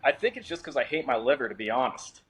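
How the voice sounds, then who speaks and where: A man's voice: mid-range voice, sing-song, fast, fairly bright tone, breathy edge, rough recording. A young adult man, an author guest on a podcast, talking in a laid-back, joking way and swearing freely. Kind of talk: casual podcast interview.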